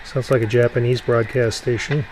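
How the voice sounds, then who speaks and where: A man restoring an old radio, talking casually to himself with lots of pauses and fillers. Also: medium pitch, slight background noise, rough recording. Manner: relaxed, thinking aloud with many fillers. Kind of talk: casual workshop narration.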